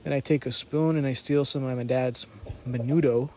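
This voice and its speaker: Male food vlogger, thirties, medium pitch, little intonation, dry joking voice-over. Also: normal speed, slight background noise. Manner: deadpan, jokey. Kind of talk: narrated vlog voice-over, casual storytelling.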